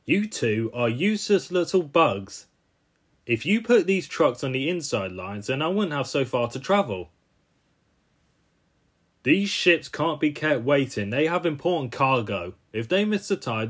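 Man as bossy cartoon crane, stern, scolding, impatient, mid-pitched. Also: sing-song, a little slow, fairly bright tone, breathy edge, heavily acted.

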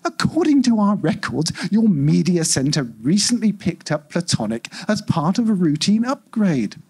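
A man voicing a polite, implacable customer-service AI in a live story reading, with smooth scripted corporate patter. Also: high voice, big pitch swings, moderate pace, darkish tone, full character voice. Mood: bland, implacable.

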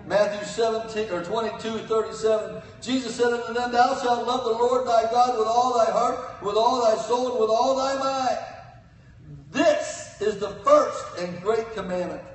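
An older man preaching a sermon from a church pulpit, swinging between loud cries and quiet asides. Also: extremely high pitch, steady tone, normal speed. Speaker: guest preacher. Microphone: pulpit mic, filmed from the pews. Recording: echoey church from far off.

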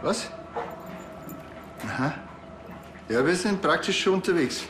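A man's voice: high-pitched, animated, takes it slowly. An actor playing a dry, impatient policeman with a Bavarian accent in a TV crime comedy. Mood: brisk. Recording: roomy sound with music.

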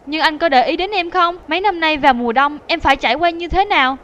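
A woman's voice: high voice, quick pace, a bit bright, highs cut off. A woman playing an angry, hurt wife in a dubbed cartoon, speaking in an accusing, demanding way. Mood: hurt, reproachful. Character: angry, hurt wife confronting her absent husband. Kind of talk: dubbed animation acting.

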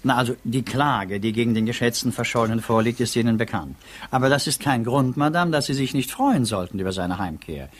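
A man's voice: mid-range voice, lively intonation, brisk, close to an everyday voice. Man acting a courteous, urbane state prosecutor. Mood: courteous, explanatory. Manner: polite, formal, explanatory. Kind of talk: 1950s German radio play acting.

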